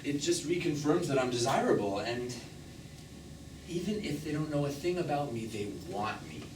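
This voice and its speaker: Guy playing a restless younger man confessing on stage, defensive, halting, echoey room, noisy. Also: mid-range voice, lively intonation, lightly acted. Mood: defiant confessing. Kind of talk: live stage play monologue. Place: small theatre stage, recorded from the audience. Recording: echoey theatre from far off, noisy.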